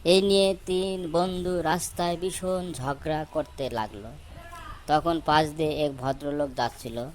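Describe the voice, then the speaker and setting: Unhurried man narrating a fable. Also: fairly high pitch, a little hiss or hum. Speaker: storyteller. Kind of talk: scripted children's story narration.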